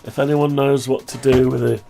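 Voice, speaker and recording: A man talking through a project update on his own software, rambling and thinking aloud, voice groggy from the flu. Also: medium pitch, flat delivery, normal speed, a little hiss or hum. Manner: rambling, thinking aloud, frequent throat clearing. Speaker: hobbyist maker showing his own software. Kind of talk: casual screen-share project update.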